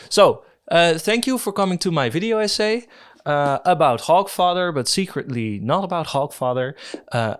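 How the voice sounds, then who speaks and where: Musing Dutch-accented man essaying. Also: medium pitch. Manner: thoughtful, musing, self-deprecating with fillers. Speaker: video essayist. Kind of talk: casual spoken video essay.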